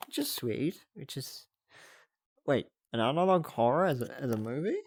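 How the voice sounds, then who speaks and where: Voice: mid-range voice, big pitch swings, moderate pace, no echo, studio quality. A livestreamer chatting casually to viewers in a giggly way, often thinking aloud. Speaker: livestreamer hosting a reaction stream.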